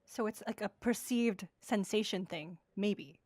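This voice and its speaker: A woman's voice: medium pitch, unhurried, darkish tone, dry recording. A woman chatting casually as a podcast co-host. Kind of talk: casual chat.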